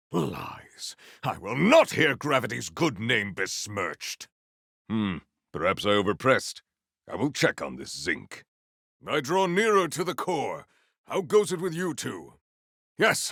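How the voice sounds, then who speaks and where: An actor playing a proud, honorable warrior in a video game, speaking in a stately, theatrical, formal way. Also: male voice, medium pitch, gravelly, a bit bright, no echo, clean studio sound, full character voice. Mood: indignant. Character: proud, honorable, formal warrior, adult man, earnest and grandiose. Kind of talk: video game character voice lines.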